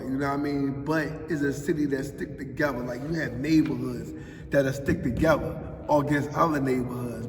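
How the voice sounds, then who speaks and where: A man's voice: mid-range voice, a little mellow. Philly guy, 40s, emphatic street talk, recorded from afar in a big echoey hall. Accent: Philadelphia. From Philadelphia. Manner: street-talking, emphatic, often adds "you know what I mean". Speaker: interviewee. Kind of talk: casual interview answers. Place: large echoey public building. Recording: roomy hall echo, distant mic, faint ambience.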